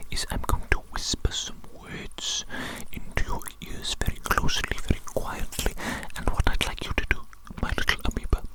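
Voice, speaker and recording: An evil doctor played by an ASMR performer speaks entirely in a breathy whisper. The delivery is formally polite and patronising, with deliberate repetition, as in a medical roleplay meant for sleep. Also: close to an everyday voice, poor audio. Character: evil doctor giving a hearing test, politely menacing, condescending.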